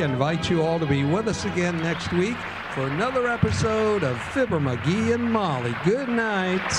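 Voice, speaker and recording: Guy doing formal announcer bits, crisp, loud band and crowd going behind him. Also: a little high. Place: ballroom stage, live show.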